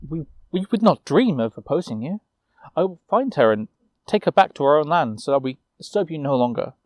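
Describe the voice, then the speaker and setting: A man's voice: a little high, very animated, a little fast, darkish tone, no room sound. A man voicing an earnest young knight-errant in a storytelling, polite, wary and reasoning.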